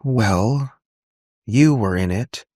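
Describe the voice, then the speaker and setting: Guy doing a scared little girl for an audiobook, pitched up, earnest and upset. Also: extremely slow, dark tone, no room sound, clean studio sound. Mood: timid, uneasy. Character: frightened little girl, tearfully recounting a nightmare.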